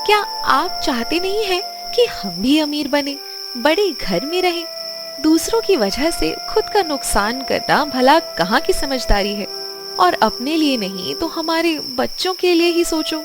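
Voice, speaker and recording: A woman's voice: high-pitched, lively intonation, measured pace, over music, pitched above the performer's own voice. A woman voicing a pushy, money-minded village wife who nags persuasively in a cartoon story. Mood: urging. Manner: persuasive, nagging. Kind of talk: animated moral bedtime story.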